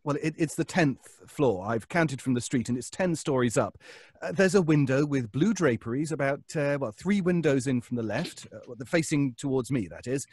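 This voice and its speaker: Radio suspense role: nervy, stammering fussy gentleman, slightly husky, lively intonation. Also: medium pitch, no echo. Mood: polite, hesitant. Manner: stammering, flustered, halting. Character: anxious, fussy, hesitant male stage actor neighbour. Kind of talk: old-time radio suspense acting.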